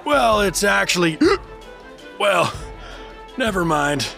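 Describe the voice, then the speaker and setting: A man's voice: high-pitched, expressive, slow pace, gravelly, bright, forceful tone, faint music behind, heavily acted. A man playing a goofy, boastful, drunken adventurer in a fantasy audio drama, slurring and muddling his words. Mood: tipsy, cheerful.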